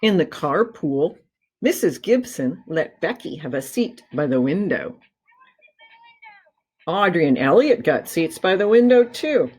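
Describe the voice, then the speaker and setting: A woman's voice: fairly low pitch, big pitch swings, a little slow, a little mellow, husky. A woman reading a children's story aloud expressively, like a teacher, drawing out key words for dramatic emphasis.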